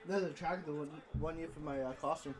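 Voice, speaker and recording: A man chatting casually during a gaming session, hesitant and halting, often trailing off mid-sentence. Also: fairly high pitch. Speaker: gaming channel co-host. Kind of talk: casual chat over gameplay.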